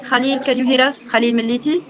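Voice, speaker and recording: A woman's voice: sits high, steady tone, quick pace, noisy background. A woman moderating a conference panel, introducing speakers with hesitant, filler-laden speech.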